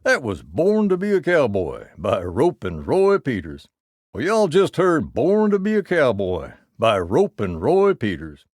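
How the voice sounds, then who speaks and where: Folksy cowboy radio DJ, swinging drawl. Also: male voice, mid-range voice, no echo, studio quality. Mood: easygoing, folksy. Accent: American Southern or Western. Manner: folksy drawl, wry, song-announcer cadence. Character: folksy old cowboy country radio DJ, wry and easygoing. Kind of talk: scripted country radio DJ patter.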